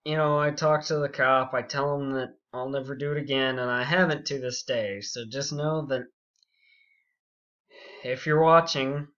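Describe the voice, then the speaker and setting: A young man telling a story casually and unscripted, rambling and halting, with frequent asides. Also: medium pitch, steady tone, fairly bright tone. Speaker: YouTube storyteller. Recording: dull, muffled lo-fi audio.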